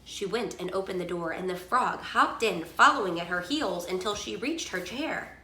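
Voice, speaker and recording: Animated, bright-voiced woman reading aloud. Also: medium pitch, moderate pace. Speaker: storyteller reading to a child. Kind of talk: expressive read-aloud storytelling. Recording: echoey room sound.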